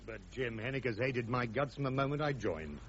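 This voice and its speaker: A man playing a defiant, sharp British army major with a clipped, ironic English manner in a radio drama. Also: medium pitch, animated, a little fast, a little hiss or hum, natural voice. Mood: dry, confiding. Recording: dull, muffled radio drama recording.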